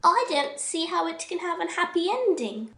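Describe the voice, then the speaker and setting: A woman voicing a guileless young boy twin who asks slow, wide-eyed questions. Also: extremely high pitch, sing-song, fairly bright tone, reverberant, pitched above the performer's own voice, exaggerated voice.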